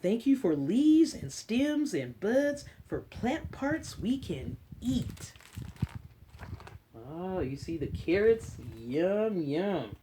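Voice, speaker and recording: A woman hosting a children's story time, reading a picture book aloud in an expressive, drawn-out way with chatty, encouraging asides. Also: medium pitch, a little hiss or hum.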